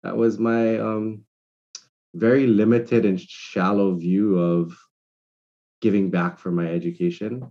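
Deep-voiced guy, slow thoughtful talk, muffled call audio. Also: steady tone, dark tone. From Hawaii. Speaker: guest entrepreneur. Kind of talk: casual conversation.

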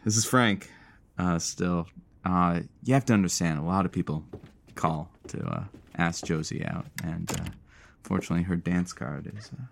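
A man's voice: low-pitched, normal speed, gravelly, a little hiss or hum. Playful male radio sidekick. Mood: awkward, apologetic. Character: teasing, matchmaking male radio show producer sidekick. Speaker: co-host. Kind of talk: semi-improvised audio drama.

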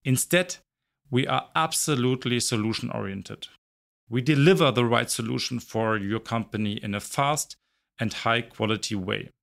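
Man in his forties, brisk business talk. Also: mid-range voice, creaky, a bit bright. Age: 40s. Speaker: recruitment company director. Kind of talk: scripted self-introduction.

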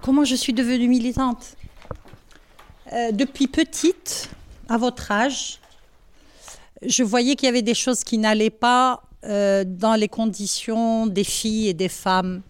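A woman in her sixties from Algeria, answering schoolchildren's questions in a classroom, thoughtful and halting with many pauses. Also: a little high, fairly even intonation, clean tone, no echo. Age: 60s. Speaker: women's rights activist answering pupils' questions.

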